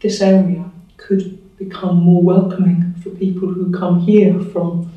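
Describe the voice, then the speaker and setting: A man interviewing a guest, asking measured questions and often murmuring agreement. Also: high voice, level tone. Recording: dull, echoey, far from the mic.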